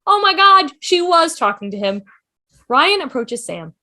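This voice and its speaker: Bright, high-pitched woman narrating. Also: very animated, measured pace. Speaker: stage directions narrator in a table read. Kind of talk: scripted narration. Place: video call table read.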